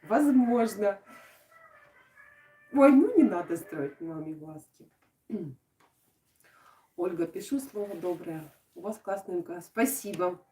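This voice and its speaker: A woman from Odesa with a southern Ukrainian accent in her Russian, softening her g sounds. She is chatting casually on a craft live stream and answering her viewers' comments with lots of fillers. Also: lowish voice, expressive, slow, pauses often, slight background noise. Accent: southern Ukrainian Russian, soft g and "sho". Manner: chatty and warm toward viewers, with frequent laughs and fillers. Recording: roomy, from a distant mic.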